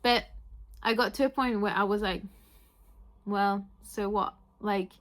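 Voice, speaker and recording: A woman's voice: mid-range voice, unhurried. Chatty French woman with Yorkshire twang. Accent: French mixed with Yorkshire. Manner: chatty, says "like" a lot, excitable. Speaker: book vlogger. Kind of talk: chatty vlog monologue.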